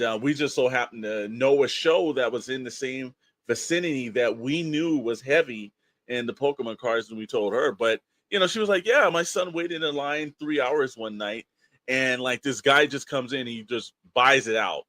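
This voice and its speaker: A man with a medium-pitched voice talks as a podcast host in a very dry recording. His casual chat is opinionated and rambling, slipping into quoted asides with exasperated emphasis. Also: moderate pace, a bit bright, dry recording.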